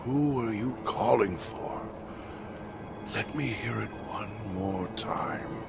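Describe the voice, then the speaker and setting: An actor playing a formal, wistful samurai guard in a video game, musing aloud in a stately manner. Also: male voice, lowish voice, sing-song, moderate pace, over music. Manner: formal, musing, breathy murmurs rising to firm speech. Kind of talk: video game cutscene acting.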